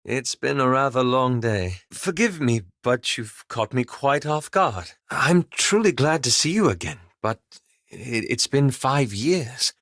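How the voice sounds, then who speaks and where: A man playing a polite, anxious, scholarly elven wizard in a video game, speaking with formal, measured, courteous diction. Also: mid-range voice, lively intonation, fairly bright tone, no echo, studio quality. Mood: polite, flustered. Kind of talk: video game character dialogue.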